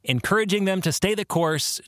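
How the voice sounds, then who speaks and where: A man hosting a podcast, explaining theology in a monologue with deliberate, emphatic phrasing. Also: high-pitched, fairly bright tone, dry recording, studio quality. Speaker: podcast host and author.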